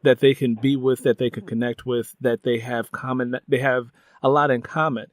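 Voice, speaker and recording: A middle-aged man with an American accent interviewing someone conversationally, deliberately rephrasing his questions as he goes. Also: mid-range voice, little pitch movement, no room sound, studio quality. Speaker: interviewer and host.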